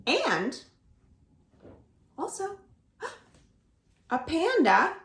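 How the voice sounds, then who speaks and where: A woman hosting a children's storytime from home, reading aloud expressively with deliberate, dramatic emphasis for young listeners. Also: extremely high pitch, wildly swooping pitch, vocal fry. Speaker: library storytime host. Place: indoors at home. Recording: roomy, echoing home recording.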